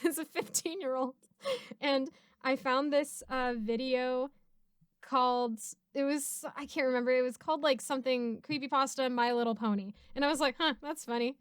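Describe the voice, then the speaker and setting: Young woman streamer, high clear voice, chatty n expressive, dry close sound. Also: normal speed, no room sound. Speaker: streamer and narrator hosting a Q&A. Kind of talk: casual chat, storytelling.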